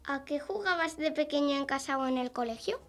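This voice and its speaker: Female interviewer, high-pitched, reading out short straightforward questions. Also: fairly quick. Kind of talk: simple prepared interview questions.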